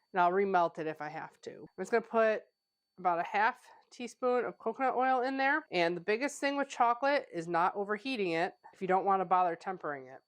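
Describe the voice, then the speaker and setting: Stuffy-voiced woman cooking-channel host. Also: fairly low pitch. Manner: chatty, conversational, with frequent "like" fillers. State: stuffy, getting over a cold. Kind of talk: casual cooking tutorial. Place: home kitchen.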